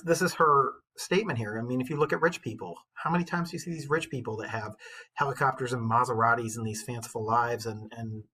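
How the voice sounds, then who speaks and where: A man's voice: medium pitch, pushed and bright, slightly rough, low-quality recording. A man speaking as an English teacher in an informal recorded lesson, conversational and slangy, explaining things through commentary and anecdote. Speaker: high school English teacher.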